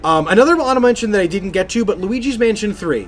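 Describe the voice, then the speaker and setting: Casual gaming podcast co-host, male, slightly high voice, effusive and emphatic. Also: expressive, very fast, a little hiss or hum. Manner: emphatic, effusive, repeats phrases for stress. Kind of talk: casual video game podcast chat.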